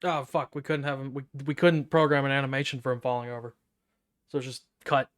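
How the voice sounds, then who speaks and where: A man's voice: mid-range voice, steady tone, fast, fairly bright tone. A man giving casual, sardonic, sweary reaction commentary as a game streamer.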